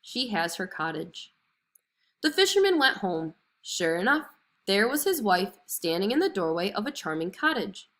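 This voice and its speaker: A woman reading a children's fairy tale aloud as an expressive storyteller. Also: mid-range voice, normal speed. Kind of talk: expressive scripted story narration.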